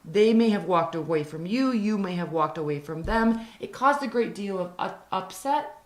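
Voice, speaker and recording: A woman with a raspy, hoarse voice reading tarot cards, earnest, repeating her advice and slowing down to stress it. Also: mid-range voice, animated, a little mellow, roomy sound. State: hoarse from talking for days. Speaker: tarot reader.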